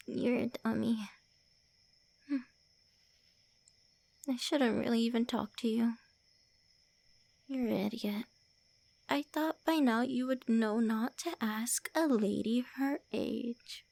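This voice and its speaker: A woman's voice: fairly high pitch, gravelly, darkish tone, no echo, full character voice. A woman voicing a young-looking female vampire lord who is secretly shy and calls the listener names. She whispers and stammers in an ASMR roleplay. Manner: whispery, stammering, brusque teasing. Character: tsundere female vampire lord, centuries-old, looks early twenties, flustered, insulting.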